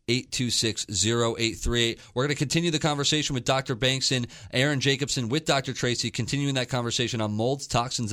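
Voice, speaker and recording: A man's voice: medium pitch, fairly bright tone. A man hosting a health talk radio show in an upbeat, promotional manner. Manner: upbeat, promotional, reads out phone numbers.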